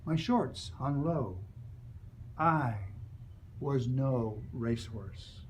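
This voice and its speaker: A man from the United States, an author reading his memoir and reflecting on it in a deliberate, dramatic storytelling manner. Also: medium pitch, expressive, a little hiss or hum.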